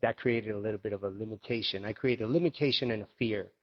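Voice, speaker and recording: A man in his forties from Argentina reflects on his past in a low, unhurried voice. He pauses and restarts often as he searches for the right words. The sound is a little muffled and lo-fi. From Argentina, raised in Miami. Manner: thoughtful, halting, many restarts. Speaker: guest, yoga instructor and coach. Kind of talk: reflective personal storytelling.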